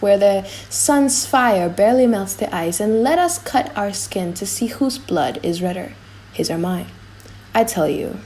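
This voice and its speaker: Woman playing a proud, soft-spoken Moorish prince. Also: mid-range voice, a little hiss or hum, close to an everyday voice, poor audio. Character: proud, ardent Moorish prince, boastful suitor. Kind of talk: dramatic audiobook acting.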